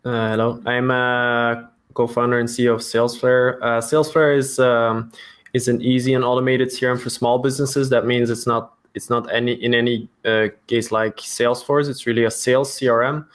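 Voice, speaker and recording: A man gives an explanatory business talk as a podcast guest. His delivery is flat in pitch and measured, with hesitant phrasing. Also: clear, resonant tone. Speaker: podcast guest, startup founder.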